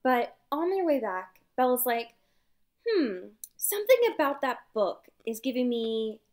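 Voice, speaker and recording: A woman co-hosting a podcast, casually recapping a story in an animated way and acting out bits of dialogue. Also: on the high side, measured pace. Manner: animated retelling, acts out dialogue. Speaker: podcast co-host. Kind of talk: casual chat recapping a novel.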